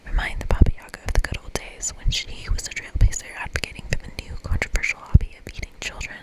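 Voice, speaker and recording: An ASMR host speaks entirely in a whisper while playing a story game. The reading is casual, broken up by playful personal asides. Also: fast. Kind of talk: whispered ASMR game read-aloud and commentary.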